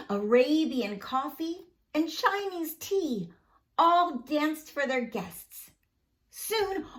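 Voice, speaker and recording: Slow, high-voiced woman storyteller. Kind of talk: expressive children's storybook narration.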